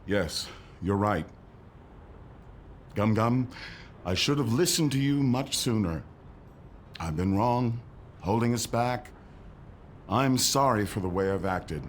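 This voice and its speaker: A low-voiced troll chieftain and father acted by a man, blustery but sincere. His delivery is theatrical and swings widely in pitch, in a family audio drama. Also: animated, slow, long pauses, slight background noise. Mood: humbled, sincere.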